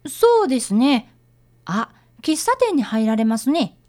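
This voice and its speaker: A woman's voice: high-pitched, very animated, measured pace, dark tone. A woman playing an earnest, polite young boy apprentice detective in a radio drama, narrating and talking formally. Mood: earnest, observant.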